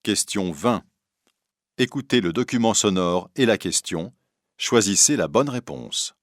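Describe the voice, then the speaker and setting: Casting: male test announcer, low-pitched, dry studio recording, reading scripted exam instructions. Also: animated, a little slow, no room sound.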